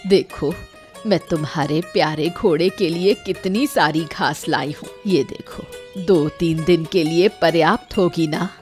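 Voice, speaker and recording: A woman as a low-voiced, proud old village mother. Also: darkish tone, close to an everyday voice. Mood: affectionate. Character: hardworking elderly village mother-in-law, proud, plain-spoken. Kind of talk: animated moral story acting.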